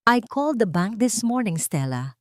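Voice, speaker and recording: A woman voicing a bitter husband who speaks low, with a cutting, accusing edge, in a dramatic story. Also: big pitch swings, moderate pace, fairly bright tone, dry recording, studio quality. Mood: cold accusing.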